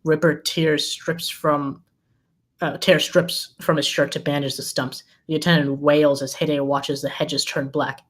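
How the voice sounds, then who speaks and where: Narrator for a film table read, medium pitch, scripted scene descriptions and action lines. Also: level tone, measured pace. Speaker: stage direction narrator.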